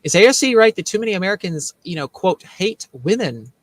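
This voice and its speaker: A man's voice: high-pitched, very animated, a little fast. A man hosting a political commentary show in a casual, joking way, rambling and repeating himself as he mocks. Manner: rambling, repetitive, mocking.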